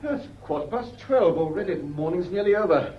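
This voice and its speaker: An actor playing an irritable, uneasy British master of the house in a radio play, sardonic and at times nervously hesitant. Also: male voice, high-pitched, expressive, fairly quick, darkish tone. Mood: brisk. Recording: noisy old radio drama recording.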